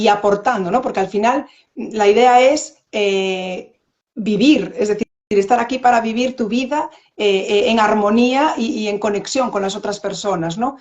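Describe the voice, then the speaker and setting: Woman guest thinking out loud, halting, repeats herself, muffled call sound. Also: mid-range voice. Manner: reflective, hesitant, repeats words. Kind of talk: live online interview.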